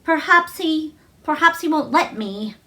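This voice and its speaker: A flustered nurse in a children's story, acted by a woman. This grown woman speaks in a bright, high voice. The delivery is anxious and hurried. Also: expressive, full character voice. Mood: hesitant.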